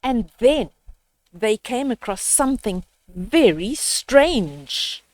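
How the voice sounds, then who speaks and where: An older woman reads a picture book to young children at an unhurried pace. Her voice is on the high side and swings widely in pitch, with asides and questions for the listeners, in a dry, close recording. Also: sing-song, dry recording. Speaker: children's storybook reader. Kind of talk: read-aloud storytime with explanatory asides.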